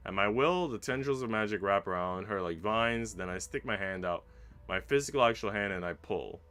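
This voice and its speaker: A man reading a visual novel aloud as a streamer, in an expressive, dramatic narration that drops hushed and rises with emphasis. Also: on the low side, moderate pace. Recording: faint game music behind. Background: game music.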